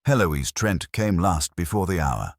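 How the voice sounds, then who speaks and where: A man reading a detective story in a wry, measured storytelling manner. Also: low voice, big pitch swings, gravelly, no echo, clean studio sound. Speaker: audiobook narrator. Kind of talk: scripted detective story narration.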